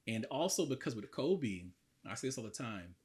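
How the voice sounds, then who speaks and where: A man in his thirties from the southern United States, a podcast guest telling his life story in an earnest, reflective way, a little hoarse today. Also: mid-range voice, sing-song, normal speed, darkish tone. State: slightly hoarse. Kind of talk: casual interview talk.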